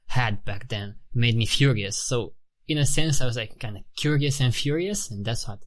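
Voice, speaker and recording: A man's voice: mid-range voice, lively intonation, moderate pace. A man in his thirties from Romania with a Romanian accent, a guest in a casual interview who talks thoughtfully, often restarting phrases and saying "you know" and "like". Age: 30s.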